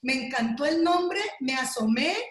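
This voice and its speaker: High-voiced Mexican woman hosting, echoey room. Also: unhurried. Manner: chatty, with many "este" fillers. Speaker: interview host. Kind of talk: video call interview.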